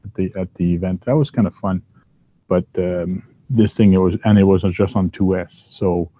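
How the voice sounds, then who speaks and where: A man's voice: low-pitched, steady tone, normal speed, dark tone, lo-fi sound. A man with a big voice hosting a casual chat while working at a hobby bench at home, rambling with lots of ums and uhs. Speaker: host. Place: downstairs workbench at home.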